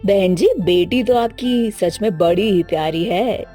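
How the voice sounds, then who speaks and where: A woman's voice: medium pitch, measured pace, dark tone, soft background music, close to an everyday voice. A woman playing a polite, approving middle-aged prospective mother-in-law in a story cartoon, courteous and matter-of-fact. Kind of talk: Hindi moral story cartoon dialogue.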